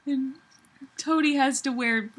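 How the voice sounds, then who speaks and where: A woman's voice: high voice, fairly even intonation, takes it slowly. A tipsy woman giving a giggly, theatrical comic reading of fan fiction. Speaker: reader.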